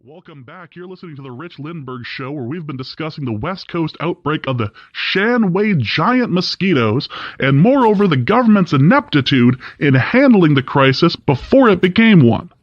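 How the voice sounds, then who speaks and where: A man's voice: a little high, sing-song, moderate pace, no echo, dull audio. An actor playing an indignant, skeptical, emphatic male talk radio host in an audio drama. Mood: presenting, critical. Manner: emphatic, opinionated. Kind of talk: talk radio monologue acting.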